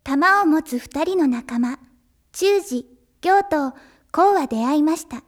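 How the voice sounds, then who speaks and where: A woman's voice: sits high, animated, slow. A woman voicing a polite storyteller, narrating an anime adventure from a script.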